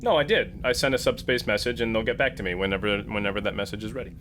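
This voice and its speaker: A man's voice: lowish voice, fast, a bit bright, soft background music. A man talking casually as a player in a tabletop roleplaying game.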